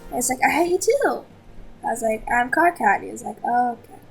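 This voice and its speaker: High-voiced woman, slightly husky storyteller. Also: fairly quick, faint music behind. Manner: excitable, repetitive storytelling with acted-out quotes. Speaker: host of a story-time show. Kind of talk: casual storytelling chat.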